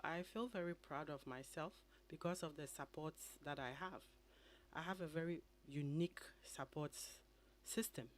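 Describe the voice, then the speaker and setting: A woman with a Ghanaian accent, an immigration attorney answering questions calmly and methodically as a radio studio guest. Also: low-pitched, slight background noise. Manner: measured, explanatory.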